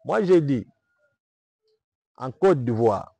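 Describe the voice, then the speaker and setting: A man's voice: mid-range voice, big pitch swings, slow pace, gravelly, dark tone, breathy edge. A man with a Congolese French accent from Kinshasa, a self-styled prophet answering interview questions in a preaching manner, emphatic and repetitive, often asking "vous comprenez?".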